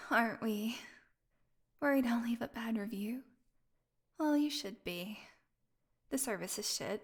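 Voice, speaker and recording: Actress as female vampire captive, high-pitched, unhurried drawl, sarcastic, defiant, bored, audio roleplay. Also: husky. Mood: sardonic, weary.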